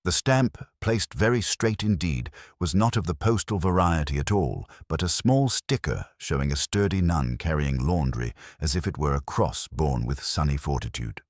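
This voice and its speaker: A man narrating a period mystery story in a measured, dryly humorous manner. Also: a bass voice, lively intonation, no room sound, studio quality.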